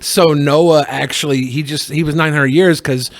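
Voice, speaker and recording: A man with a fairly high voice jokes around on a panel podcast. He riffs in a crude, mock-serious way, as if arguing a real point. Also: steady tone, moderate pace. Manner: profane, mock-serious riffing. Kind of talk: casual joking panel chat.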